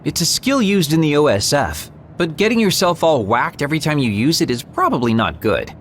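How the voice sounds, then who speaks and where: Sardonic young squadmate, weary tone with varied pitch. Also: male voice, sing-song, fairly quick, a little hiss or hum. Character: cynical, sardonic young male soldier.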